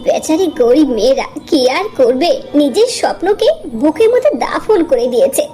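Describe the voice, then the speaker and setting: A woman's voice: sits high, big pitch swings, moderate pace, warm tone. A woman playing a haughty young rich woman in a cartoon, taunting the poor with sneering mock pity. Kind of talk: animated story voice acting.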